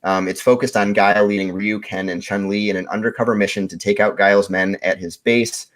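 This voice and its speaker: A man giving a casual, rambling movie pitch as a podcast contestant. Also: little pitch movement, fairly quick.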